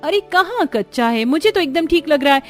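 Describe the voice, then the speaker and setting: Woman doing a whiny high-pitched young daughter-in-law. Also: lively intonation, fairly quick, soft background music. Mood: indignant. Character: defiant young daughter-in-law, breezy and excuse-making.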